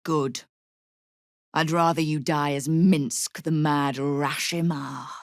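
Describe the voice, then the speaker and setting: A woman's voice: deep voice, steady tone, takes it slowly, no echo, clean studio sound. An actress playing a shrewd, tough female crime boss in a video game, speaking with wry, controlled menace. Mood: wry, measured. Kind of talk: video game character dialogue.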